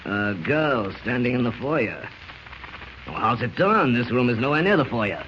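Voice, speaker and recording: An actor playing a wary master criminal in an old radio drama, speaking in a guarded, terse way. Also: male voice, medium pitch, very animated, normal speed, lightly acted. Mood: wary, puzzled. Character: wary male master criminal, escaped convict. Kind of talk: 1940s radio drama acting. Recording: dull, noisy old radio recording.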